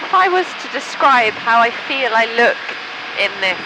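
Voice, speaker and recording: A woman's voice: a little high, very animated, moderate pace, background noise. A woman chatting casually as a passenger, in a playful, appreciative way. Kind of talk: casual chat.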